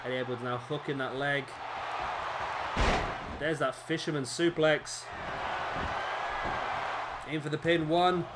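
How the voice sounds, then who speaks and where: A man, his voice a little hoarse, doing casual play-by-play commentary over a wrestling video game, rambling with fillers and correcting himself. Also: a little high, level tone, slow pace, long pauses, very noisy. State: slightly hoarse, losing his voice. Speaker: gameplay commentator.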